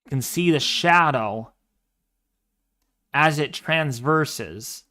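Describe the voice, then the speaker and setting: A man in his twenties with a clear, smooth voice pitched somewhat high. He talks at an unhurried pace, thinking aloud as he clicks through an astronomy program. He often breaks into excited exclamations. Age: 20s. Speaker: livestream host. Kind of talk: casual livestream demo of astronomy software.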